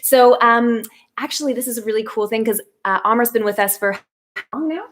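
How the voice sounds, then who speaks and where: A woman's voice: on the high side, moderate pace, fairly bright tone. A young woman from Canada hosting a casual live stream chat, giggling and filling her talk with ums and likes. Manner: giggly, chatty, many fillers. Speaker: host, media lead.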